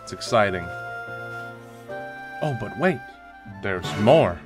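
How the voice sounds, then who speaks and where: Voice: deep voice, animated, slow, over music. A game streamer giving casual, jokey playthrough commentary and mimicking how the characters would react.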